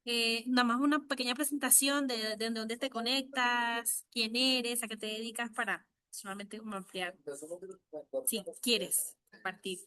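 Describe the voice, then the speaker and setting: A woman moderating an online workshop over a video call, in a friendly and relaxed way. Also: on the high side, measured pace, no room sound.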